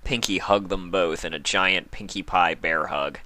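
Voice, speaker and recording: A man's voice: sits low, a little slow, bright, forceful tone, no room sound. A man reading scripted story narration as a fan fiction reader.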